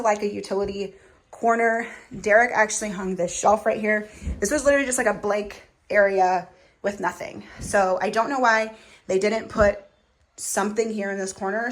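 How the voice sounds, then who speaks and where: Chatty young woman, slightly hoarse. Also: medium pitch. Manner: chatty, upbeat, lots of fillers. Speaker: family vlogger. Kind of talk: casual chat to camera. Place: home kitchen.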